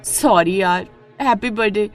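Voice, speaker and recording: A woman's voice: mid-range voice, a little slow, faint music behind, lightly acted. A woman voicing a jealous, hot-tempered young wife in a melodramatic story, with emotional outbursts. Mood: jealous, indignant. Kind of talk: melodramatic Hindi moral story acting.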